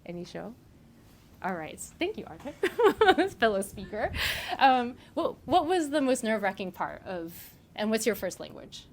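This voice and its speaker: A woman's voice: a little high, very animated, measured pace. A woman in her thirties, raised in South Korea with Korean as her first language, speaks to a small audience at a meetup. She talks in a loose, chatty way, filling gaps with "like" and turning to the room with "right?". A faint hiss sits under her voice. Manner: chatty, frequent "like" and "right?", laughs between points. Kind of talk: live conference talk.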